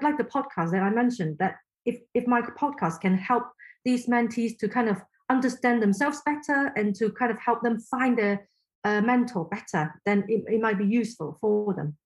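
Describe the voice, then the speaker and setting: Woman from Hong Kong, Chinese native speaker, podcast guest; fairly high voice, measured and searching, often restarts phrases. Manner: thoughtful, halting, many restarts. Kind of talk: interview answers.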